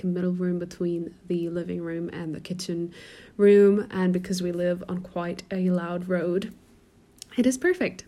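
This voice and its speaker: A woman in her twenties from Germany who grew up in Portugal, speaking with an American-sounding accent. She hosts a solo podcast in a soft, chatty way with many ums, recorded on a phone in a quiet room at home. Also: lowish voice. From Germany, raised in Portugal. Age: 20s. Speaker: podcast host and coach. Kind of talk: casual solo podcast talk.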